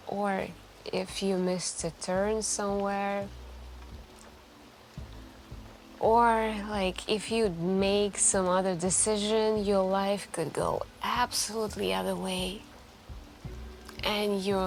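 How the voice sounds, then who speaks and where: A woman playing a mysterious, lonely late-night café stranger in an intimate ASMR roleplay, soft-spoken and wistful, musing hesitantly with lingering pauses. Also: mid-range voice, creaky. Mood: pensive, wondering. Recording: background music.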